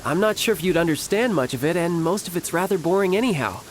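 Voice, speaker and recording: An actor playing a smooth, playful young harbinger in a video game, breezy, polite and theatrical. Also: male voice, high voice, lively intonation, fast, a little hiss or hum. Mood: gentle, reassuring. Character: smooth, playful young male harbinger posing as toy seller.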